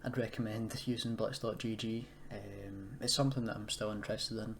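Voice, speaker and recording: A man's voice: on the low side, steady tone, normal speed. A man presenting a casual screen-recorded tutorial, explaining in an unscripted, hesitant way. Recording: noisy home recording, keyboard typing.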